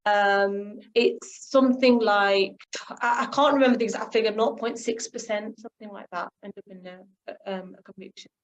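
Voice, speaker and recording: A middle-aged woman speaking as a guest author in a radio discussion, earnest and halting, often repeating herself. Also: mid-range voice, steady tone, highs cut off.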